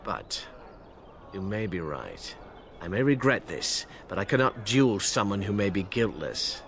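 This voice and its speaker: A stern man of honor in a fantasy video game. His low voice is grave and formal, with clipped phrasing, over faint background noise. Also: sing-song, measured pace, fairly bright tone, heavily acted. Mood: stern, reluctant. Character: stern honorable man, duelist who challenges a Warden.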